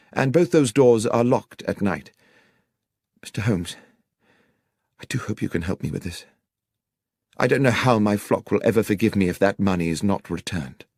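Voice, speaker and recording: A man's voice: medium pitch, darkish tone, dry recording, studio quality. A man voicing an anxious, courteous young country clergyman in an audiobook, speaking in articulate, polite, wordy sentences. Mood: anxious, explaining. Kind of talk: audiobook character dialogue.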